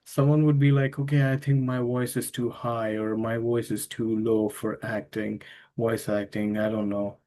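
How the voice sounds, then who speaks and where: Halting male podcast host. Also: medium pitch, level tone, warm tone. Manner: halting, with many fillers and restarts. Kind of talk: interview questions.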